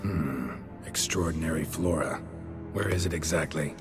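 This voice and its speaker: An actor playing a gruff, unflappable monster hunter for hire in a video game, blunt and laconic. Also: male voice, rumbling low, moderate pace, over music. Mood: dry, weary. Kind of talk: video game dialogue acting.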